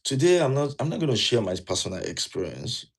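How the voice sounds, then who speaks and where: A man's voice: mid-range voice, sing-song, fairly quick, husky. A man from Nigeria with a Nigerian accent, giving earnest, repetitive advice to viewers in a casual talk. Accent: Nigerian English. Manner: earnest, repeats key points, asks the viewer questions. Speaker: vlogger giving immigration advice. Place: indoors, wearing a jacket.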